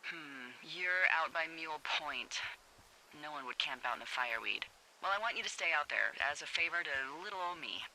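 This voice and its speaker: A woman's voice: deep voice, lively intonation, moderate pace, bright, forceful tone, slight background noise, full character voice, low-quality recording. A woman playing a wry, teasing American fire lookout in a naturalistic video game, chatty and sardonic, heard over a two-way radio. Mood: teasing, casual.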